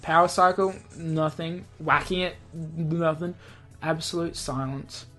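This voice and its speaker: A woman with a somewhat low voice narrates a visual novel as an amateur let's player. She reads in an offhand way, now and then tripping on a word and taking it again. Music plays behind her. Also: fairly even intonation, measured pace, fairly bright tone. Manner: stumbles over words and re-reads lines. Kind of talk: amateur read-aloud narration with offhand remarks. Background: game background music.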